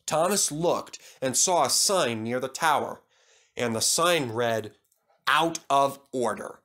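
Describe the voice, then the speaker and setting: A man's voice: mid-range voice, lively intonation, takes it slowly, a bit bright. A man reading scripted narration for a children's story as the storyteller.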